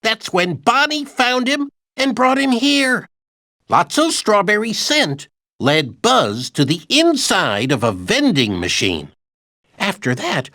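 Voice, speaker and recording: A man's voice: very high voice, sharp tone, no echo, studio quality, full character voice. A man voicing an anxious, childlike toy dinosaur in a video game, earnestly retelling adventures with dramatic emphasis. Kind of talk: animated video game storytelling narration.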